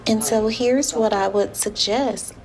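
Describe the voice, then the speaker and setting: A woman speaking as a therapist in a session, with deliberate, formal phrasing. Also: a little high, darkish tone. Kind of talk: measured counseling session talk. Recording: clear background room noise.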